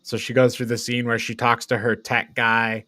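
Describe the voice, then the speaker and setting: A man's voice: medium pitch, level tone, normal speed, sharp tone, no echo. A man co-hosting a podcast, loosely recapping and reviewing in casual, conversational talk. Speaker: podcast co-host. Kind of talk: casual movie review chat.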